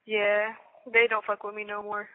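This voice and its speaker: A woman's voice: mid-range voice, monotone, normal speed. A young woman chatting casually and slangily over a jail phone line, saying "like" often. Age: young adult. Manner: frequent "like", slangy. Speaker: jail inmate on a phone call. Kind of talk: casual chat. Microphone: telephone.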